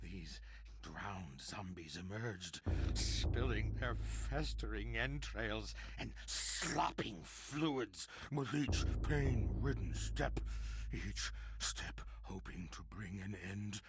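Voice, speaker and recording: A tormented male narrator of a gothic horror game, full of dread and on the edge of madness. He speaks slowly and theatrically, savoring grim words, with a medium-pitched voice that swoops widely. Clear noise sits in the recording. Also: expressive. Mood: morbid disgust. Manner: melodramatic, lingering on words, swinging between whispers and cries.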